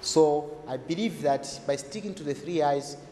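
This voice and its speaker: A man's voice: fairly high pitch, a little slow, mellow. A man giving a didactic explanation as a health expert, repeating points and asking rhetorical questions. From Zambia. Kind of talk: explanatory talk to camera. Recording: echoey reverberant room, faint noise.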